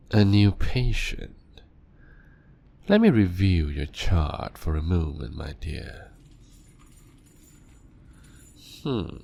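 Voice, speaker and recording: Gravelly sadistic vampire doctor. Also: male voice, low-pitched, slight background noise, full character voice. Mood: clinical. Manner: slow, silky, toying, with soft laughs. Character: male vampire doctor, calm, sadistic, clinical. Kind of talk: audio drama roleplay.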